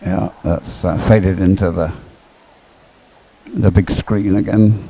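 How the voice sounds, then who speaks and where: A man's voice: deep voice, fairly even intonation, takes it slowly, creaky, warm tone, breathy edge, poor audio. A man giving unscripted live sports commentary in a hesitant, rambling, stop-start way.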